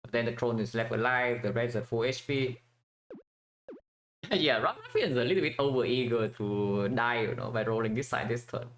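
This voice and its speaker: A man's voice: medium pitch, big pitch swings, moderate pace, a bit bright, slight background noise. A man from Vietnam giving casual commentary while playing a strategy game, thinking aloud with many fillers and restarts.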